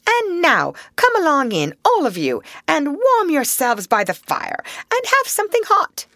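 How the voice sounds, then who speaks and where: A woman voicing a male water rat from a storybook, hearty and bustling, with a brisk, commanding and approving manner. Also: mid-range voice, big pitch swings, slightly rough, no echo, clean studio sound, voice pushed up, full character voice. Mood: hearty welcome.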